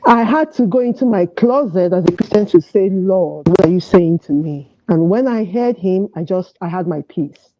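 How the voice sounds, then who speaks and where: A woman calling in to a talk show, speaking casually and earnestly about her faith in a halting way. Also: on the low side, lively intonation, dark tone, no room sound. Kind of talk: casual, earnest religious testimony. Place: remote call into an online show. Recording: muffled, dry call audio.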